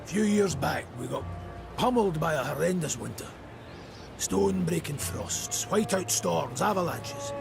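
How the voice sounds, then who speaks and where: A crude Scottish dwarf from a fantasy game, with a high voice full of gravel. He talks in an earthy, blustering, colloquial way. Music runs clearly behind him. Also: lively intonation, measured pace, full character voice. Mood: grim, remorseful. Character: crude, evasive dwarf clansman confessing uneasily. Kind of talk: scripted video game voice acting.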